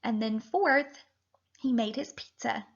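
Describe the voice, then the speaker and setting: High-pitched woman reading to children. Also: creaky, slightly rough, muffled recording. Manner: animated, deliberate, addressing children directly. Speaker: teacher reading a picture book aloud to children. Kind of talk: expressive children's read-aloud and lesson.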